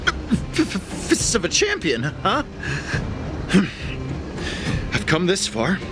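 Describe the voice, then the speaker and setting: Casting: cowardly petty thief for a game, jittery stammer, very animated intonation, slowish delivery. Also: male voice, high voice, music in the background, full character voice. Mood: cornered bluster. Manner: nervous, stammering. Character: shifty, cowardly petty thief, blustering then pleading. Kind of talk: dramatic game voice acting.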